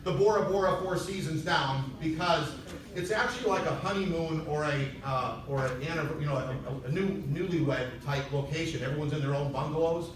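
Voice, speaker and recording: A man's voice: fairly high pitch, fairly quick. A man giving a conversational, urging motivational talk as a speaker on stage at a sales convention. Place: large event hall on stage. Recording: echoey hall, distant mic, noisy.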